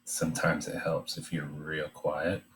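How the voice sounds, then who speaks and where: A man giving an informal drawing demonstration, talking in a hesitant, halting way as he thinks aloud. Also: extremely low pitch, completely flat delivery, fairly bright tone, slight background noise. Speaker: art demonstrator.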